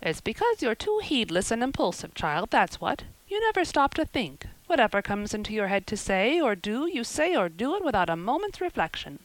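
A woman's voice: a little high, sing-song, normal speed, few pauses, dry recording, pitched above the performer's own voice, poor audio. A woman voicing a gossipy, self-assured older village woman who talks in a knowing, self-satisfied way. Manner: knowing, self-satisfied, repeats a pet phrase.

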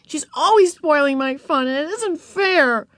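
A woman's voice: a little high, fairly even intonation, moderate pace, no room sound, studio quality, pitched above the performer's own voice, natural voice. A woman voicing an exasperated, earnest schoolgirl, the older sister in a storybook. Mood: indignant.

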